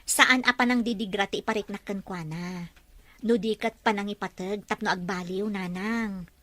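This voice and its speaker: A woman's voice: medium pitch, steady tone, moderate pace, pushed and bright. A woman with an Ilocano Filipino accent, playing a devoted, stubbornly hopeful young wife in a radio drama. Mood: pleading, insistent.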